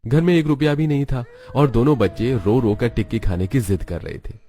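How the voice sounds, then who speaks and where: A man's voice: medium pitch, brisk, dark tone. A man reading scripted storybook narration for a children's cartoon.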